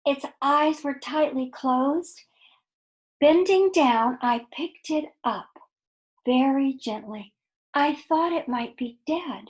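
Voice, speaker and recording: A woman reading a children's story aloud in a deliberate, explanatory way, with asides to young listeners. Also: high voice, poor audio.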